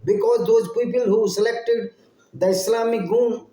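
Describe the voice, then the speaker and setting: A man with an Indian English accent and a fairly high but mellow voice delivers a fervent monologue straight to his viewers. His English is halting, and he restarts and repeats phrases as he pushes on. The sound carries a roomy echo. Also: fairly even intonation. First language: Hindi. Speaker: channel host. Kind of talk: impassioned solo monologue to viewers.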